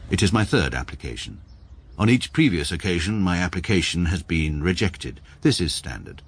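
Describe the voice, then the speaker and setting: A stiffly formal barrister. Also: male voice, sits low, lively intonation, breathy edge, exaggerated voice. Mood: measured. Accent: English. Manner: measured, dry and literal. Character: precise, stiffly formal middle-aged male barrister. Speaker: barrister narrating his own story. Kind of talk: radio drama acting and narration.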